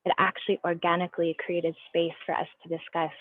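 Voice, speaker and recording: A woman giving a research presentation on a video call, explaining in a measured way with small restarts. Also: on the low side, little pitch movement, dry recording. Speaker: guest speaker presenting research. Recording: tinny phone-like line, dry.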